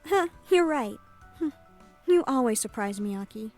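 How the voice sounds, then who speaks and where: A woman acts out a soft-hearted young love interest from a visual novel game. Her voice is high, gentle and slightly hesitant. Faint music plays behind her. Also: expressive, normal speed, lightly acted. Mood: warm, resigned.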